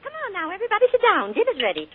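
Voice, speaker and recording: A woman's voice: very high-pitched, huge pitch swings, rapid-fire, music in the background, full character voice. An actress playing a chatty, bustling middle-aged small-town mother in a 1940s radio drama. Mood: fussy. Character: fussy cheerful small-town mother and housewife. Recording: tinny 1940s radio broadcast.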